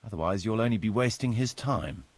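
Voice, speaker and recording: Video game role: practical, courteous village steward, husky and measured, recorded close and dry. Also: male voice, fairly low pitch, animated, dry recording. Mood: helpful, matter-of-fact. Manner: measured, formal, instructive. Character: practical medieval village steward, courteous, businesslike adult man. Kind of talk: video game cutscene dialogue, explanatory.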